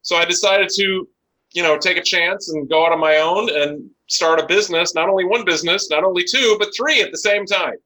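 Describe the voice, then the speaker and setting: Bright, forceful American man in his forties. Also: high voice, brisk, poor audio. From United States (Chicago and Los Angeles). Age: 40s. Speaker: business owner, interview guest. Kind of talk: conversational storytelling.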